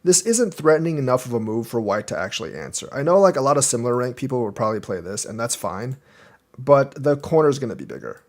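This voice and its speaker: A young man walks through a student's board game in a relaxed, conversational way. He thinks aloud as he explains why each move is too passive or not big enough, at an ordinary pitch for a man. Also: quick pace. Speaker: Go teacher reviewing a game. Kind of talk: casual game review commentary.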